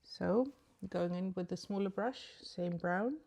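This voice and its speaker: Low, gravelly woman chatting makeup. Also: a little slow, dark tone. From Sweden. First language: Swedish. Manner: rambling and chatty, many ums. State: sleepy in the early morning. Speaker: beauty vlogger. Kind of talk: casual makeup tutorial chat.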